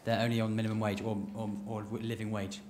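A man's voice: lowish voice, completely flat delivery, fast, a little mellow, a little hiss or hum. A young male student in a lecture hall audience, asking a question into a handheld mic, hesitant and full of fillers. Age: young adult.

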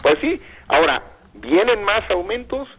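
An older man speaks in a radio interview, his voice husky and a bit high. His pitch rises and falls a lot as he reasons aloud. He often hesitates and repeats words. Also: very animated, a little slow, a bit bright, dull audio. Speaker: radio guest, commentator.

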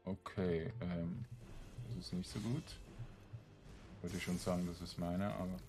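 A man with a low voice talks through a video game as a let's play host. His commentary is casual and comes in short, murmured remarks, as if to himself. Faint music plays behind him. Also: little pitch movement, measured pace, mellow.

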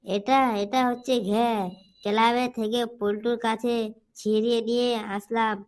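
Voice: medium pitch, level tone, normal speed, clean tone, lightly acted. A performer voicing a cheeky, slangy village youngster in a comic cartoon.

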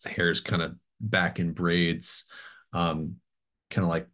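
A middle-aged man runs a tabletop game in a very low voice. He describes scenes off the cuff, pausing as he thinks aloud. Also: little pitch movement, a bit bright. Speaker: game master. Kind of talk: narrating a tabletop game, improvised. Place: home room with bookshelves, video call.

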